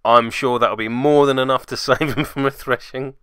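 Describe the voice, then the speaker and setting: A man's voice: medium pitch, fairly even intonation, normal speed, bright, forceful tone, clear, resonant tone, no room sound, clean studio sound. A man giving wry voice-over play-by-play commentary on a tabletop miniatures game, with self-deprecating asides.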